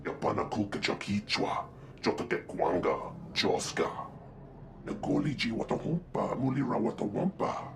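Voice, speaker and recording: A man playing a gruff alien street dealer with a gravelly voice, speaking an invented tongue in a video game. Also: fairly low pitch, animated, normal speed, noisy background. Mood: animated.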